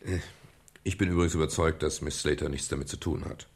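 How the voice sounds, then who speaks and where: An actor playing a calm, reflective private detective in a German radio crime drama, speaking in a measured, explanatory way with a gravelly, husky voice. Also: male voice, extremely low pitch. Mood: earnest, subdued. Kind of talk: German radio crime drama, dialogue and first-person narration.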